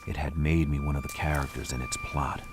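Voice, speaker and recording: An actor voicing a brooding, haunted man who narrates slowly and somberly, dropping into whispers. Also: rumbling low, music in the background. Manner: slow, somber, monotone, with hushed whispered lines. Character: brooding, haunted man lost in a dark mansion. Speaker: game protagonist narrator. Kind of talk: scripted game narration. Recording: clear ambient noise behind the voice.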